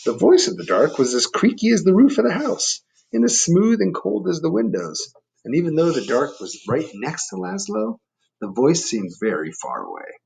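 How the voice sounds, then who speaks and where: Male storybook reader for children, very varied intonation, gravelly edge, conversational and explanatory. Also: a little high, wildly swooping pitch, moderate pace, darkish tone, poor audio. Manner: explains and asks the listeners questions. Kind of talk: read-aloud for children with chatty asides.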